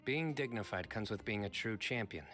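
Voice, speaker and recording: An actor playing a dignified young desert champion in a video game, earnest, formal and measured. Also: male voice, lowish voice, lively intonation, fairly bright tone, soft background music.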